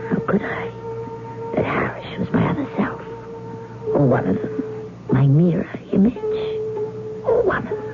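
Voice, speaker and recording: A woman's voice: a little high, wildly swooping pitch, measured pace, long pauses, whispered, over loud music, full character voice. An actress playing a proud, stubborn old woman devoted to her cats in a radio drama, with a tart, imperious manner. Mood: secretive. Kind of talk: old-time radio drama acting with first-person narration. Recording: muffled, noisy vintage radio recording.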